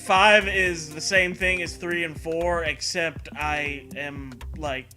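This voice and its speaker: A man's voice: on the high side, fairly even intonation, slow pace, bright, forceful tone, faint music behind. A man co-hosting a comedy show, giving casual commentary in a crude, profane, riffing manner.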